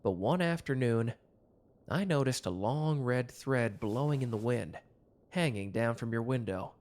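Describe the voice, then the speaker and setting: Man playing a young male love interest, medium pitch, casual, intimate, teasing, bashful, romantic audio roleplay. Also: animated, unhurried, a little mellow, close to an everyday voice. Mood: nostalgic.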